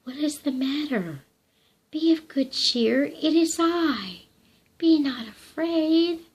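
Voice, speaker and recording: A woman voicing a gentle, cheerful male shepherd who speaks slowly and reassuringly. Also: high voice, very animated, husky, voice pushed up, full character voice. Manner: slow, kind, reassuring.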